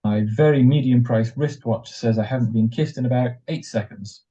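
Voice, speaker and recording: Man acting a mellow young returned serviceman. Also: medium pitch, moderate pace, natural voice. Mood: playful, affectionate. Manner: affectionate, teasing, wistful, hesitant. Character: tender, playful young returned serviceman husband. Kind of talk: 1940s radio drama acting. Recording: dull muffled audio, faint music.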